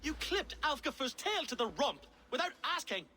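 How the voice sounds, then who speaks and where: Brief: an indignant Saxon villager, high bright voice, emphatic game acting. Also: male voice, sing-song, normal speed, heavily acted. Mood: angry. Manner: fussy, emphatic, wounded dignity. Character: aggrieved, indignant male Saxon stable owner. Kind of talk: dramatic video game acting.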